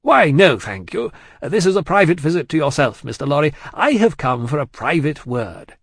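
Casting: big self-important man of business acted by a male reader, blustering and forceful, dry close sound, classic novel dialogue. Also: very animated, normal speed, creaky, breathy edge, dry recording, heavily acted. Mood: hearty, booming. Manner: booming, pompous, forceful exclamations.

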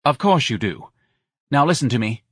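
A man's voice: mid-range voice, sing-song, moderate pace, husky, no room sound, studio quality, voice pushed up. A man voicing a hard-nosed, scornful woman who runs a nightclub, snapping out crude orders in an audiobook. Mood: bossy. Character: hard-nosed, bossy, scornful female nightclub owner Lana.

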